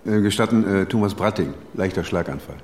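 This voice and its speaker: An actor playing an anxious, witty editor in his fifties in a radio drama, fussy and halting as he talks. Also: low voice, a little hiss or hum, lightly acted. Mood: awkward charm. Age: 50s. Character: anxious, witty 59-year-old editor fretting about ageing. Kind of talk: naturalistic German radio drama.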